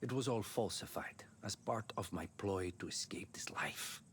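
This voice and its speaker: An actor playing a calm, cunning, laid-back mercenary commander in a video game. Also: male voice, sits low, normal speed, in a whisper. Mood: measured, confiding. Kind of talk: video game cutscene acting.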